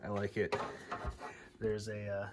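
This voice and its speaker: A man's voice: lowish voice, monotone, unhurried, noisy background. An American man talking informally and thinking aloud as he narrates a hands-on hobby repair video. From United States, Midwest. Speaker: radio hobbyist presenter.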